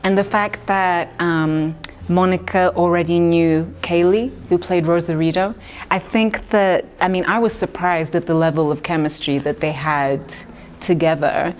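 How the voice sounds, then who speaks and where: A young woman director speaking in a thoughtful, measured way, with plenty of fillers, in a festival interview. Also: lowish voice, steady tone, slight background noise. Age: young adult. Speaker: writer and director.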